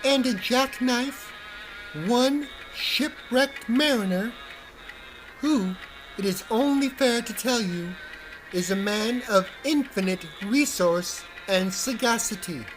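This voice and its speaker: A man voicing a small, shrewd, polite talking fish in a small squeaky storybook voice. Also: slow pace, over music, poor audio. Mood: sly, polite.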